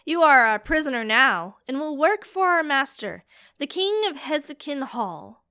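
A woman voicing a gruff, scarred male captor who taunts his prisoner loudly. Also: sits high, big pitch swings, unhurried, no room sound, clean studio sound, full character voice. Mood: taunting. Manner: loud, taunting.

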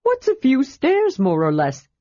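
A woman voicing a cheerful, chatty young male kender, eager and quick to take offense, in a storybook reading. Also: fairly high pitch, slightly rough, dry recording, pitched above the performer's own voice, heavily acted. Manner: eager, indignant, excitable. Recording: dull lo-fi recording.

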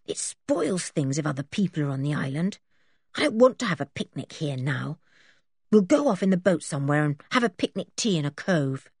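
A woman's voice: fairly low pitch, lively intonation, brisk, few pauses, darkish tone, breathy edge, dry recording, clean studio sound. A woman voicing a bossy, possessive tomboy girl in a storybook, demanding and indignant. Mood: low, sulky.

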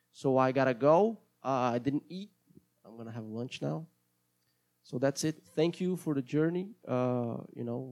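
Gravelly-voiced Brazilian man rambling casually. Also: medium pitch, a little mellow. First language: Portuguese. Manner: hesitant, rambling, many restarts. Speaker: podcast host. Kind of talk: casual solo podcast monologue.

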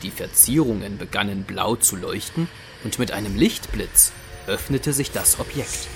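A man's voice: fairly low pitch, moderate pace, gravelly. A man narrating a fantasy audio drama in scripted, dramatic storytelling style. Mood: dramatic, descriptive. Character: male storyteller of a fantasy adventure. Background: music.

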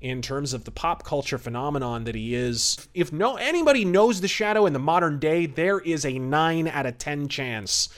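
A man's voice: a little high, moderate pace, hardly any pauses. A man hosting a podcast, giving casual, enthusiastic commentary in an emphatic, conversational way. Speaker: podcast host.

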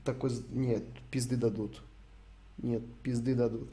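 A man's voice: mid-range voice, level tone, measured pace, warm tone, slightly rough. A young man from Ukraine streaming, answering chat questions in a rambling, sweary, joking way. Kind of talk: casual stream Q&A.

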